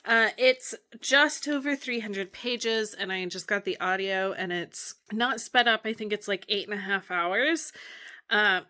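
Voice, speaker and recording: A woman in her thirties chatting casually in a home vlog, her voice hoarse from cheering, rambling easily with fillers. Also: medium pitch, measured pace, bright, forceful tone. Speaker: vlogger, homeschooling mom. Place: at home, kitchen. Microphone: handheld camera.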